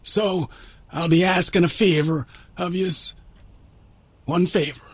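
Man acting a rasping, dying old man. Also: high voice, steady tone, dry recording, pitched above the performer's own voice, exaggerated voice. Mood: urgent, grave. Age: older. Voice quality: rough, pained, rasping, coughing. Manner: blunt, colloquial, halting. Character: dying rough old Irish man, blunt, haunted, weary.

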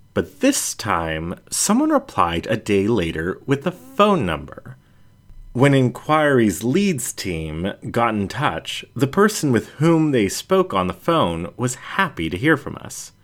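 A man reads a first-person story aloud in a low voice. His intonation swings widely, and his phrasing is deliberate and emphatic, in the manner of an audiobook narrator. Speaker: audiobook reader.

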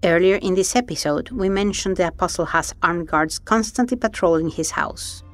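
A woman hosting an investigative documentary, giving measured, serious scripted narration. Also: fairly low pitch, dry recording, soft background music. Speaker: documentary podcast host and narrator.

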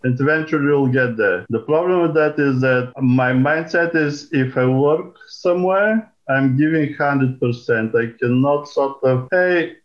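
A middle-aged man with a Bulgarian accent, telling his own story casually and candidly, with halting, repeated words. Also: mid-range voice, dull audio. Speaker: guest, career changer seeking data science job. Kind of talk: casual spoken personal account.

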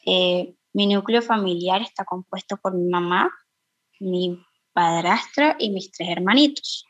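A woman's voice: on the low side, a little slow, no room sound. A teenage girl with a Colombian accent, a student talking in a conversational interview. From Quibdó, Chocó, Colombia. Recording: dry, slightly muffled lo-fi audio.

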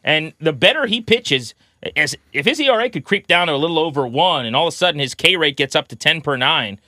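A man's voice: on the high side, animated, fairly quick, pushed and bright, dry recording, clean studio sound. A man co-hosting a sports podcast, giving conversational baseball analysis in a methodical, explanatory way.

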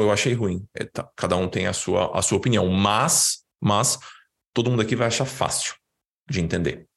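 A Brazilian man with a lowish, husky voice leads an informal class as a financial educator. He explains step by step in a conversational, didactic way, repeating words to stress his points. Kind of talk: informal explanatory lesson, live talk.

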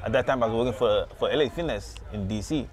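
A man talks at a rapid clip from his booth at an outdoor beach market. He rambles, restarts and repeats words, peppering his story with "you know," at a middle pitch. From Senegal. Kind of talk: casual street interview.

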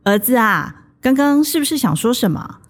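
A woman's voice: fairly high pitch, sing-song, normal speed. An actress with a Taiwanese Mandarin accent playing a doting, traditional older mother in a radio drama, coaxing and chatty.

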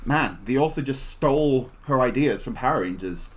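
A woman's voice: very deep voice, lively intonation, normal speed. A woman in her twenties co-hosting a podcast, joking and swearing in casual chat. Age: 20s. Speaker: podcast co-host.

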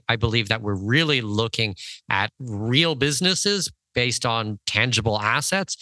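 A man with a bright, forward voice, the kind heard interviewing guests on a business podcast from a dry, padded room. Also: mid-range voice, no echo, rough recording. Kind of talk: conversational interview.

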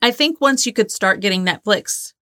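A woman's voice: medium pitch, expressive, normal speed, pushed and bright, no echo, studio quality. A woman in her thirties co-hosting a podcast in casual, conversational chat. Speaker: podcast co-host and trivia game player.

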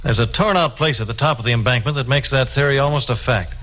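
An actor playing an easygoing, friendly railroad signal maintainer in a 1950s radio drama, folksy and helpful. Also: male voice, medium pitch, fast talker, a bit bright, lightly acted. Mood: explaining.

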